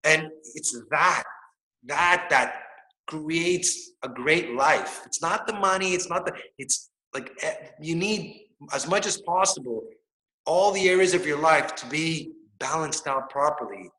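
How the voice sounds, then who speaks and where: A man's voice: on the high side, lively intonation, a little slow, bright, forceful tone, echoey room, low-quality recording. A man in his forties talking emphatically and motivationally in a casual podcast conversation, swearing freely. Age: 40s. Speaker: fitness coach and guest.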